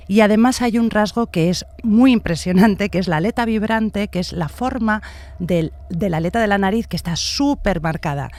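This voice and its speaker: A woman's voice: mid-range voice, darkish tone. A woman from giving explanatory commentary as an expert guest. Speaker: expert guest, face-reading analyst.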